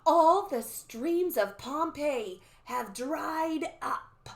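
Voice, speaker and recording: Storybook crone voiced by a woman: raspy old prophetess, ominous, dragging pace, raised pitch. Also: expressive, exaggerated voice. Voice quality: raspy, hissing. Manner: slow, drawn-out, ominous delivery. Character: creepy raspy old female soothsayer with urgent warnings.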